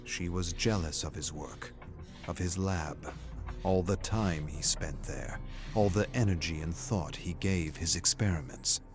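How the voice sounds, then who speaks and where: A man reading scripted, dramatic story narration in the manner of an audiobook. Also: low voice.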